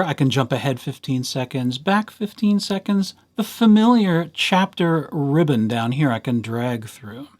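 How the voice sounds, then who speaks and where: A man presenting a casual software tutorial as a host, with playful, dryly humorous asides. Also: medium pitch.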